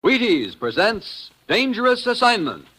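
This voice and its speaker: High, dramatic American radio announcer man. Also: very animated, unhurried, very noisy. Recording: vintage radio recording, loud music.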